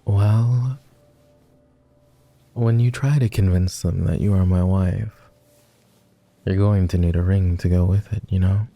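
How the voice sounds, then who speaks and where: A man's voice: sits low, fairly even intonation, unhurried, creaky, long pauses, warm tone, no room sound. A man playing a clingy, lovestruck and melancholy vampire lord in an intimate boyfriend roleplay ASMR, speaking tenderly in formal, old-fashioned, hesitant phrases. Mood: fond, teasing.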